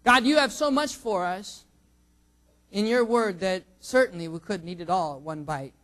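High-voiced joking young preacher. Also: male voice, normal speed. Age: under 45. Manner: jokey, self-teasing, surging loud emphasis. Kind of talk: sermon with prayer.

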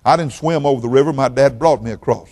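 Older preacher, folksy and rambling. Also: male voice, mid-range voice, fast, darkish tone. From Oklahoma, raised in Texas. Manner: folksy, rambling, repeats words. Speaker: guest pastor. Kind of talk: sermon.

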